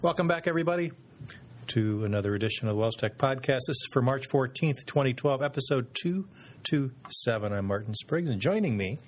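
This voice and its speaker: A man hosting a podcast in casual chat, relaxed and often pausing with ums and restarts. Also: mid-range voice. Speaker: podcast host.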